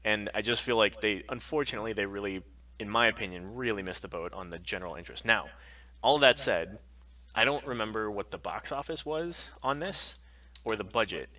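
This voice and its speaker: A man with a fairly low pitch and a very forceful, bright edge chats casually as a livestream co-host. He talks with emphasis, and he sits a little back from the microphone. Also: brisk, recorded from a distance. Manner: emphatic, frequent swearing. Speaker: podcast co-host.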